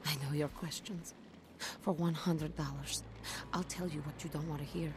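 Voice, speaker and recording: Woman acting a low, ominous fortune teller. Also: fairly even intonation, moderate pace, background noise, natural voice. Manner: portentous, riddling pronouncements. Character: cryptic, ominous female fortune teller who sees the future. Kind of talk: video game dialogue acting.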